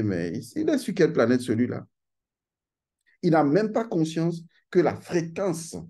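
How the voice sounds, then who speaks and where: A man's voice: medium pitch, dark tone. A man giving an insistent, lecturing monologue, stressing and repeating his key points. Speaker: channel host giving a talk.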